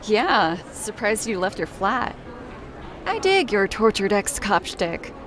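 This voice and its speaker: A woman's voice: mid-range voice, sing-song, slow pace, a crowd in the background, background noise. A woman voicing a playful, knowing psychology student and history buff in a video game, with a wry, teasing manner. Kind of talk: video game character dialogue.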